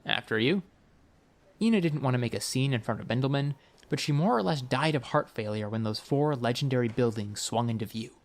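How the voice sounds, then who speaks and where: A man reading scripted fiction as an audiobook narrator, with a wry, expressive storytelling manner. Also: medium pitch, fairly quick, hardly any pauses.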